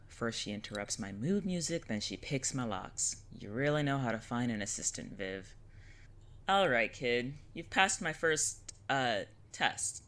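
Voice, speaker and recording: Woman playing a sardonic witch with forceful edge. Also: rumbling low, very animated, unhurried, full character voice. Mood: wry, irritated. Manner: wry, slangy, dismissive. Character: gruff, sardonic adult witch, easily exasperated. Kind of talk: audio drama acting.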